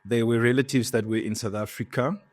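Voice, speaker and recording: Brief: man, mid pitch, earnest reflective storyteller. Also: steady tone, fairly quick. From Zimbabwe. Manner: reflective, earnest, many "you know" fillers. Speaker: guest entrepreneur. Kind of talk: storytelling in a podcast interview.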